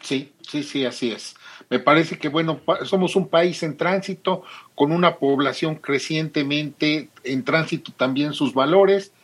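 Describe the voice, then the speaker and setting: A Mexican man with a Mexican accent, a podcast guest talking in a measured, thoughtful way. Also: on the high side. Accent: Mexican Spanish. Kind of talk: casual podcast discussion.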